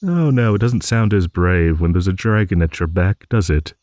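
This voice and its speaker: A man's voice: deep voice, lively intonation, moderate pace, no echo, studio quality. A man voicing a rambling old dragon, genial, wistful and a little vain, in an improvised fantasy audio monologue. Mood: playful storytelling. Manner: rambling, musing, with hums and soft chuckles.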